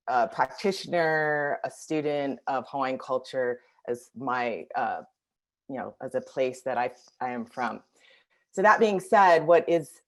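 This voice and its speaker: Reflective woman co-presenter on a video call, low voice, halting with fillers. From Washington, D.C. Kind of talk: webinar discussion.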